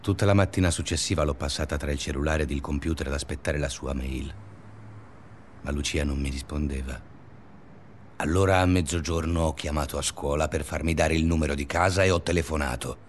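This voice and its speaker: An actor playing an obsessive, restless male schoolteacher in a confessional radio drama monologue, speaking deliberately in short phrases. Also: very deep voice, slight background noise. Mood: agitated. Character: obsessive, restless male schoolteacher narrating his sleepless days.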